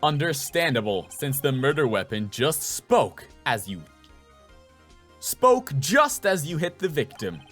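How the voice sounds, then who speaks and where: A man voicing an earnest, excitable young male defense attorney in a video game dub, with emphatic theatrical delivery. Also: fairly high pitch, unhurried, faint music behind, full character voice. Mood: confident, pressing.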